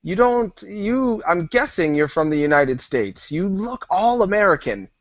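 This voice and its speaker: A man's voice: high-pitched, sing-song, measured pace, dry recording. A man hosting a casual interview, asking upbeat, curious questions. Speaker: interview host.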